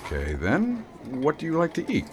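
A man's voice: fairly high pitch, wildly swooping pitch, normal speed, noisy background. A man acting as a wry, folksy, kindly small-town sheriff in a radio drama. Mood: kindly, reassuring.

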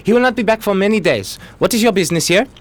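A man's voice: high voice, animated, fast talker. Hesitant, courteous male official, played by an actor. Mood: deferential, uneasy. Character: deferential, evasive male government official at a gate. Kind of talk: radio play acting.

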